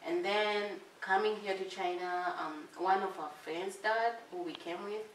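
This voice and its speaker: A woman from Tanzania, a medical student, answering in a relaxed, hesitant way. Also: mid-range voice, a little slow, slight background noise. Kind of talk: casual chat. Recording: echoey room, far from mic.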